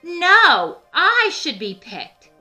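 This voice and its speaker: A vain hen turkey from a storybook, acted by a woman reader. She is competitive and insistent, with a bright, assertive voice. Faint music runs behind her. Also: very high voice, huge pitch swings, very slow, pitched above the performer's own voice, exaggerated voice.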